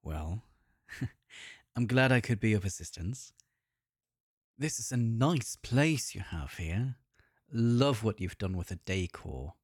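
Man putting on a smooth, slow German seducer. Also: lowish voice, lively intonation, no room sound, clean studio sound, heavily acted. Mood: smooth, flirtatious. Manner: suave, deliberate, seductive. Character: smooth-talking flirtatious German tourist man. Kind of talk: scripted erotic audio roleplay.

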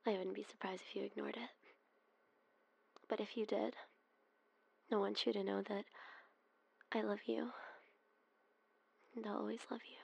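A woman doing a whispered ASMR roleplay, speaking in soft, breathy whispers with frequent sighs. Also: mid-range voice, little pitch movement, normal speed, long pauses, highs cut off.

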